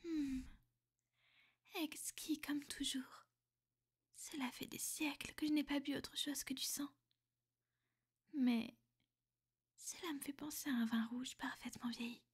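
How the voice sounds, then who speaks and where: A woman playing a centuries-old vampire girlfriend in an ASMR roleplay, soft and intimate, often whispering, refined, tender and possessive. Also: sits high, fairly even intonation, slow pace, pauses often. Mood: hungry, sensual.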